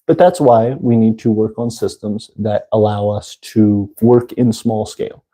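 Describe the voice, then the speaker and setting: A man with a congested voice, hosting a casual livestream and talking haltingly with many fillers. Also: lowish voice, fairly even intonation, mellow. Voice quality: congested, nasal. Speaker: livestream host. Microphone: phone.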